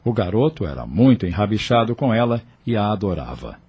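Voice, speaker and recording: A man's voice: lowish voice, very animated, brisk, warm tone, slightly rough. A man with a Brazilian accent reading scripted narration for an audio novel. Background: music.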